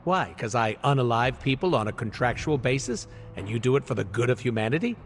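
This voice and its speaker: An actor playing a cocky, irreverent mercenary antihero in a video game, with a jokey, sarcastic, theatrical delivery. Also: male voice, mid-range voice, animated, brisk, slight background noise, close to an everyday voice. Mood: cocky, joking. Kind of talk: video game cutscene acting.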